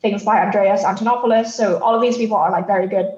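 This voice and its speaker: A woman from Thailand speaking as a podcast guest, explaining her thoughts in a reflective, halting, conversational way. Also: medium pitch, fairly even intonation, a little mellow, echoey room, lo-fi sound. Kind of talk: conversational interview answers.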